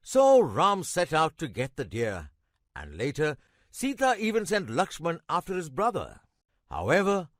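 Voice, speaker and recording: A man playing a kindly old grandfather in a children's cartoon, narrating a legend in a measured, expressive way with emphatic pauses. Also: on the high side, dry recording, studio quality.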